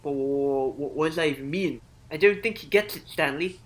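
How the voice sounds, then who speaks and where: A man's voice: a little high, moderate pace, natural voice. A man voicing a theatrical, ominous narrator in a comedy sketch. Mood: flustered. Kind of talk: parody narration.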